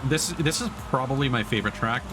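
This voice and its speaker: A man's voice: medium pitch, expressive, quick pace, over music. A man livestreaming a video game, giving casual, explanatory commentary. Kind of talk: casual live gaming commentary.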